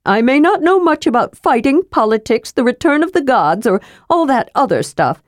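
A woman's voice: sits high, very animated, normal speed, dry recording, clean studio sound, pitched above the performer's own voice. A woman voicing an eager, chattering male kender who protests indignantly. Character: cheerful chattering male kender, small and nimble.

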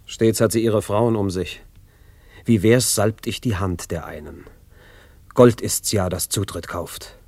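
A man's voice: on the low side, a little slow, a little mellow, slightly rough, no echo. An actor playing a haughty, cynical young nobleman in a classic radio drama, pompous and theatrical. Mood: cynical, musing.

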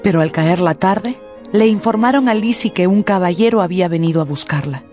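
A woman's voice: fairly low pitch, fairly quick, darkish tone, faint music behind. A woman narrator reading scripted storytelling for a radio drama.

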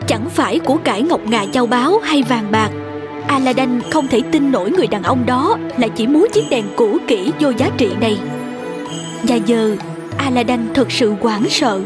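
High-pitched woman narrating a fairy tale. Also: expressive, moderate pace, over music. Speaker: storyteller.

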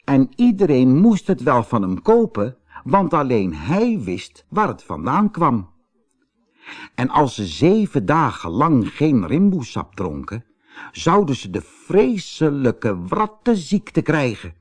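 A man narrating a children's fairy-tale audiobook in an expressive, dramatic way. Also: medium pitch, takes it slowly. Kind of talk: scripted children's audiobook storytelling.

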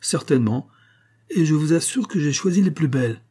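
A man voicing a boastful, sly male giant, reading in a raised, booming voice. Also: lively intonation, brisk, dark tone, breathy edge.

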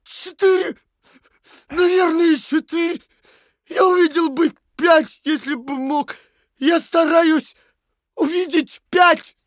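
An actor playing a broken, frightened male prisoner under torture in a radio play, speaking in a halting, anguished way. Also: very high-pitched, fairly even intonation, dry recording, clean studio sound. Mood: desperate, crying. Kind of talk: dramatic radio play acting.

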